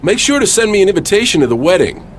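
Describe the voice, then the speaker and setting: Game role: defiant champion wrestler, wisecracking, animated delivery, clearly noisy background. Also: male voice, high-pitched, quick pace. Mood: wry. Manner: wisecracking, punchy. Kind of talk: scripted wrestling game cutscene acting.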